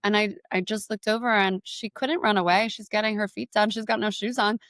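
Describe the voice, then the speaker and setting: A woman's voice: mid-range voice, steady tone, brisk, fairly bright tone, dry recording, studio quality. A young woman, a business guest, telling candid stories and giving advice with many casual fillers. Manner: candid storyteller, frequent "like" fillers. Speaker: guest entrepreneur. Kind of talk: interview conversation.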